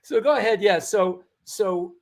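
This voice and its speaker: A man's voice: high-pitched, a little slow, gravelly. A middle-aged man hosting a casual interview, reacting often with short exclamations. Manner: warmly reactive, lots of wows and short interjections. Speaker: host.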